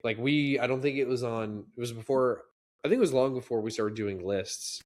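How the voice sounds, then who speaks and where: A man's voice: mid-range voice, fairly quick, a little mellow. A man co-hosting a casual podcast chat about movies, sounding congested and rambling with frequent restarts. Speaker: podcast co-host.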